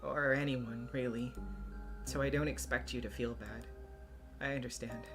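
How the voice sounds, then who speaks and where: A performer voicing a fragile, self-loathing Soviet schoolgirl with halting, formal speech in a visual novel reading. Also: steady tone, lightly acted. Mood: resigned. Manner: halting, tearful, breaks into strained high outbursts. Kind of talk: visual novel voice acting, first-person narration. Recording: music behind the voice.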